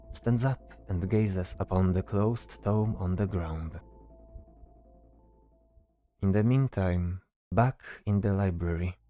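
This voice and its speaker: A man's voice: deep voice, dark tone. A man narrating a scripted fantasy story as the narrator of an audio drama.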